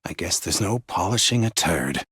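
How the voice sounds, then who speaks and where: Husky, contemptuous game villain overseer. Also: male voice, low-pitched, expressive, moderate pace, fairly bright tone, dry recording, studio quality, exaggerated voice. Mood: weary contempt. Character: contemptuous, bitterly disappointed villainous male overseer. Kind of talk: scripted video game character acting.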